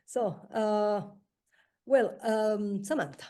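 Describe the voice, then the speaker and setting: A woman moderating a poetry round table, recapping poems and handing turns to speakers with many pauses. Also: mid-range voice, mellow.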